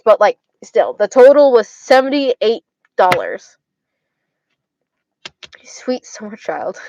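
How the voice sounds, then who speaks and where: A woman's voice: medium pitch, darkish tone, dry recording, lo-fi sound. Stuttering young woman with an Arizona accent. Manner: stutters, slurs words, repeats herself. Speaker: livestreamer chatting with viewers. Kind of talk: casual chat.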